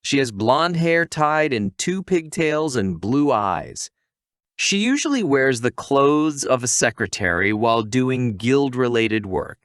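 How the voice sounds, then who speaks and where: A man's voice: medium pitch, very animated, slow, fairly bright tone, dry recording, clean studio sound. A man reading a scripted story recap as a narrator.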